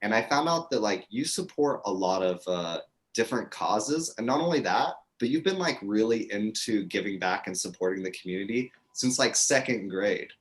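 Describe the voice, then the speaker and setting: A man's voice: fairly low pitch, expressive, normal speed, low-quality recording. A man hosting a casual music interview, laid-back and loose, saying "like" and "dude" a lot.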